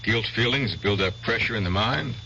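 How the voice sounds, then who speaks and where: A serious doctor, really low but crisp forceful voice, old radio show, bit of hum. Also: male voice. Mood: grave, probing. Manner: deliberate, hesitant pauses, soothing reasoning. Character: measured, probing male doctor, patient and grave. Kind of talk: 1970s radio drama acting. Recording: dull vintage radio recording, faint hum.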